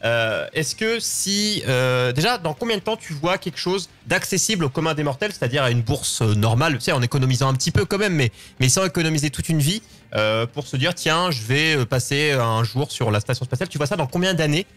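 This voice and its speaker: A man's voice: a little high, expressive, brisk, fairly bright tone. A man hosting a casual, unscripted talk show, often rephrasing himself as he goes. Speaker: host of a live call-in show.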